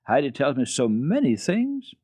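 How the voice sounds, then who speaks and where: A man's voice: medium pitch, wildly swooping pitch, normal speed, mellow, no echo, clean studio sound, voice pushed up. A man voicing a sweet young girl with a pleading, girlish manner in a children's storybook. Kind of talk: dramatic children's storybook reading.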